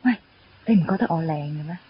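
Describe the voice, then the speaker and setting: A woman's voice: sits low, fast, breathy edge. An actress playing a confident, teasing young female graduate in a radio drama. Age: young adult. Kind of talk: Cantonese radio drama acting. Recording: dull radio drama recording with music.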